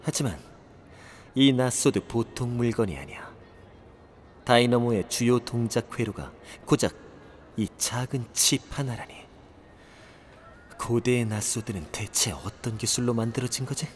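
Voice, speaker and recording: A man's voice: mid-range voice, a little slow, long pauses, a little hiss or hum, lightly acted. A man playing an arrogant, irritable young inventor, haughty and curt, in an audio drama. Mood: pensive muttering. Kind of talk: Korean audio drama acting.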